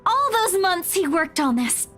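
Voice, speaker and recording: A woman's voice: very high-pitched, very animated, unhurried, fairly bright tone, heavily acted. An actress in a visual novel playing an anxious, caring, chatty young woman who stammers and pleads. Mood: eager, flustered. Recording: music behind the voice.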